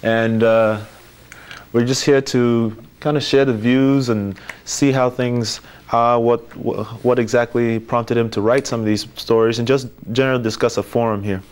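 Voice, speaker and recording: A man from Nigeria moderating a panel discussion, speaking haltingly with frequent fillers. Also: mid-range voice, level tone, smooth.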